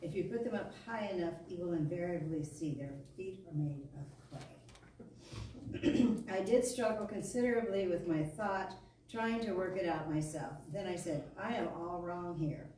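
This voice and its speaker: A woman's voice: on the low side, unhurried, dark tone. A woman reading a spiritual talk aloud to a congregation in a meeting hall. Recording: echoey hall, distant mic, faint noise.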